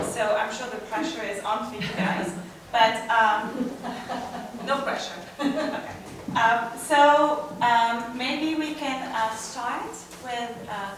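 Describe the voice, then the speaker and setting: A woman's voice: fairly high pitch, background noise. A woman opening a business panel, joking lightly and asking the panelists to introduce themselves, with many ums. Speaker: panel moderator. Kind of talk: moderating a panel. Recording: echoey hall mic, far off.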